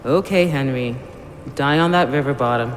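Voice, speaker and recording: A man's voice: mid-range voice, normal speed, lightly acted. An actor playing the luring ghost of a dead man in an audio drama, persuasive and soothing yet menacing. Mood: coaxing, menacing. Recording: long reverb, noisy ambience.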